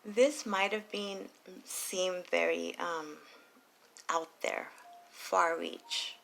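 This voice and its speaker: A woman reading tarot late at night, talking softly and low and slipping into whispers. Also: fairly even intonation, very slow, creaky, slight background noise, low-quality recording. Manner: soft and low, drifting into whispers. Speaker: tarot reader.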